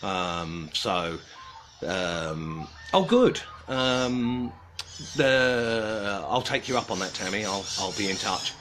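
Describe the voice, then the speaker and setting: A man's voice: lowish voice, animated, fairly bright tone, a little hiss or hum. A man from Australia with a British accent, hosting a casual livestream and greeting viewers, hesitant and in a subdued mood. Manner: halting, hesitant, many pauses and restarts. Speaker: livestream host of a carving group.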